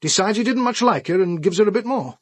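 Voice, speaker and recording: A brisk, sardonic detective in a radio drama. His voice is fairly high for a man and rough-edged, with a wry, questioning delivery in a dry recording. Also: lively intonation, dry recording. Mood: wry, analytical. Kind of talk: full-cast radio drama dialogue.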